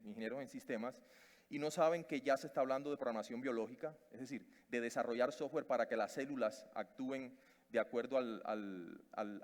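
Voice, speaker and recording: A man from Cuba with a Cuban Spanish accent, giving a conference talk, hesitant with many fillers and restarts. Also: medium pitch. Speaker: conference speaker. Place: conference stage in a large hall. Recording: long reverb of a large hall.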